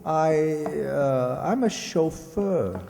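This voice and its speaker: A man's voice: fairly high pitch, animated, drawn-out words, vocal fry, long reverb tail, noisy background, full character voice. A man playing a polite, hesitant fugitive feigning innocence in an old-time radio drama. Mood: guarded, hesitant. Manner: hesitant, courteous. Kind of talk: 1940s radio acting.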